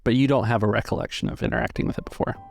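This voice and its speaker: A man's voice: mid-range voice, steady tone, racing, gravelly, a little mellow. A man narrating scenes as game master of a tabletop roleplaying game. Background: music.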